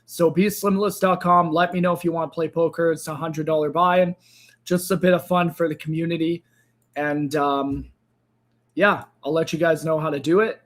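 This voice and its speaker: A man hosting a crypto trading livestream, speaking casually to viewers, hoarse and tired as his voice gives out. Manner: tired, low-energy sign-off with frequent fillers.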